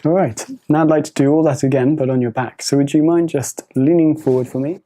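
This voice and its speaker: Woman doctor, mellow tone, soft polite requests, clinical exam. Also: sits low, fairly even intonation, a little fast. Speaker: doctor examining a patient. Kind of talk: gentle clinical instructions.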